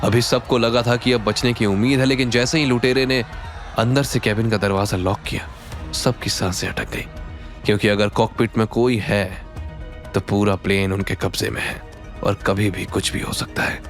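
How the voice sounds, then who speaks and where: Low-pitched man narrating an audio drama. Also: steady tone, normal speed, faint music behind.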